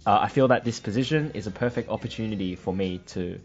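A man's voice: fairly low pitch, fast, darkish tone, lo-fi sound, faint music behind. A man co-hosting a podcast English lesson as the native English speaker, with dry, teasing replies.

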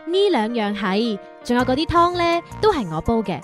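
Casting: virtuous young single woman, radio drama, high register, coy and teasing. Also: very animated, normal speed, faint music behind. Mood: warm, homely. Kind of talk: Cantonese radio drama acting.

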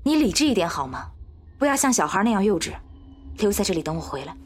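A woman's voice: mid-range voice, very animated, fast, slightly rough. A woman playing a cool, resolute female leader in an audio drama, speaking with firm authority and protective resolve. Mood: firm, commanding.

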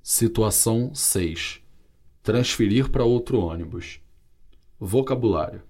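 A man with a Brazilian Portuguese accent reading vocabulary and dialogues for a language course, clear and carefully articulated. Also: fairly low pitch, takes it slowly, darkish tone. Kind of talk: slow scripted language-lesson reading.

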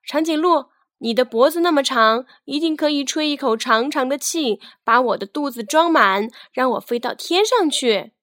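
Woman voicing an eager, high-pitched little girl balloon. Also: moderate pace, few pauses, no room sound, clean studio sound. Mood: eager, pleading. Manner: excited, sing-song chanting and pleading. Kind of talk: children's storybook character voice.

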